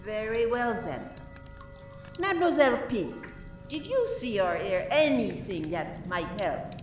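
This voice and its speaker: A woman playing a formal, methodical French police inspector in a radio play, questioning deliberately and dropping in French phrases. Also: mid-range voice, very animated, soft background music. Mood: formal, probing. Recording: echoey room from far off, faint noise.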